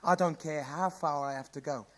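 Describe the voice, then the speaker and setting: A man's voice: fairly high pitch, fairly even intonation, moderate pace, natural voice. An actor playing an anxious, protective father in a radio drama, fretful and caring in the way he talks.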